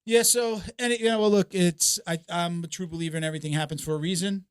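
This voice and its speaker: Man, podcast host, slightly high voice, halting casual talk, dry studio sound. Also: steady tone, smooth, no room sound. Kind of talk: casual chat.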